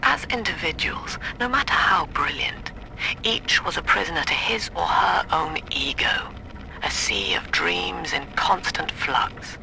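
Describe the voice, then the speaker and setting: An actress playing a cerebral utopian cult leader, delivering measured, lofty philosophy in a clinical, solemn way for a game audio log. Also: female voice, low-pitched, sing-song, gravelly, bright, forceful tone, exaggerated voice. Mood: cold, contemplative. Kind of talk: philosophical audio-diary monologue. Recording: noisy, hissy vintage audio log.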